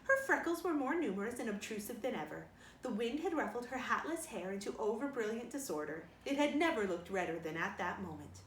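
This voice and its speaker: Female storybook narrator, higher-pitched and very lively, recorded in an echoey room. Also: a little fast. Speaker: storybook reader. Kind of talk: expressive scripted audiobook narration. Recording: roomy, distant mic, faint noise.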